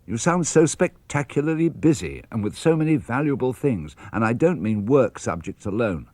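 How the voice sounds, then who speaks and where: Lively British man reading letters. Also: medium pitch, normal speed, no echo. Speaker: reader of letters. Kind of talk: scripted reading for radio.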